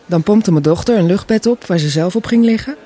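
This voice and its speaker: A woman playing a wistful, gently stubborn mother in her fifties in a Dutch radio drama, with a husky voice. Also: mid-range voice, fairly quick, natural voice. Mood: wistful, chatty. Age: middle-aged. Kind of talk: Dutch radio play dialogue, naturalistic.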